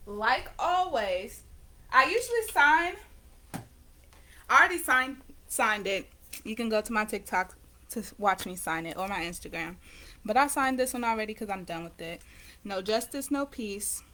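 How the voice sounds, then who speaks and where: A woman in her late teens chats loosely to the camera and keeps circling back to fix her own words. Her voice is bright and sits a little high. She sounds relaxed and conversational throughout. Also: moderate pace. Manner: rambling, self-correcting, conversational. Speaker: vlogger and painter. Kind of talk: casual chat to camera.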